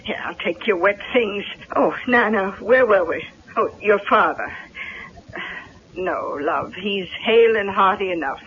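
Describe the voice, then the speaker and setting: A woman's voice: mid-range voice, lively intonation, measured pace, breathy edge. An actress playing a motherly, fussing older housekeeper in a vintage radio drama, with folksy, coddling speech. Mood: soothing. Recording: muffled 1970s radio recording, faint noise.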